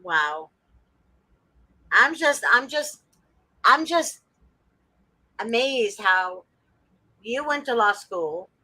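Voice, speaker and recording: A woman's voice: on the high side, animated, very slow, long pauses, pushed and bright, no echo, poor audio. A woman hosting a casual talk show, speaking passionately and turning preachy as she cites scripture.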